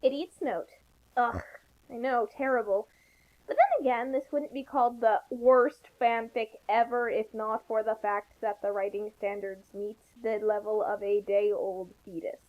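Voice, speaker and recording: A woman's voice: fairly high pitch, a little slow, muffled recording. A woman chatting casually as a co-commentator on a comedic reading. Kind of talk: casual chat.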